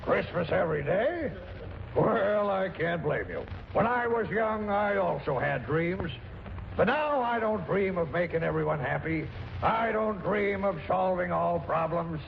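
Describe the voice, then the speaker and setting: An actor playing a pompous, busy middle-aged politician in a 1940s radio comedy, blustery and officious. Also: male voice, sits high, animated, unhurried, vocal fry, exaggerated voice. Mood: pompous, patronizing. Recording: muffled, noisy 1940s radio broadcast.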